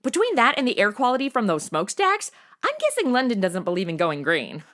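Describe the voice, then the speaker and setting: A woman's voice: a little high, huge pitch swings, fairly quick, a bit bright. A woman playing a chatty, cheeky, jittery modern young woman in a comedy audio drama, slangy and over-caffeinated.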